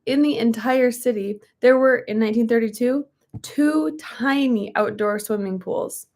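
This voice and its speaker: Hoarse young woman, casual and rambling, reading a book aloud between chatty asides. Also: on the high side, a little slow, a little mellow. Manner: chatty, rambling, frequent ums and likes.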